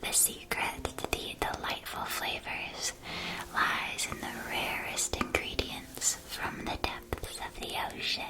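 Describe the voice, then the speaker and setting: Casting: woman playing a friendly witch-world candy shopkeeper, ASMR roleplay, whispered throughout, gentle and very unhurried. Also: fairly high pitch, poor audio. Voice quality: soft, breathy whisper. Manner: gentle, unhurried sales patter. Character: friendly female shopkeeper in a magical candy shop.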